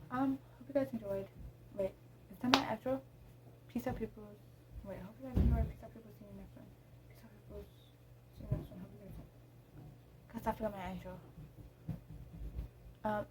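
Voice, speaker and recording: A woman's voice: mid-range voice, brisk, long pauses, noisy background. A teenage girl vlogging at home, chatting casually to her camera, rambling with lots of ums. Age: teens. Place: room at home.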